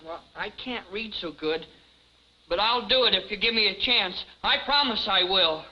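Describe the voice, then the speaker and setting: A man's voice: high voice, expressive, normal speed, sharp tone, exaggerated voice. A man playing a simple, eager young sidekick, boyish and earnest, in a 1950s television comedy. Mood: earnest, admiring. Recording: dull vintage 1950s TV soundtrack.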